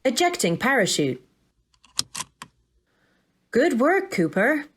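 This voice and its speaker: A woman playing a calm, procedural AI assistant that guides a soldier through combat in a sci-fi audio drama. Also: high voice, takes it slowly, a little hiss or hum, full character voice. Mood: brisk, helpful. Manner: clipped, procedural, instructive announcements.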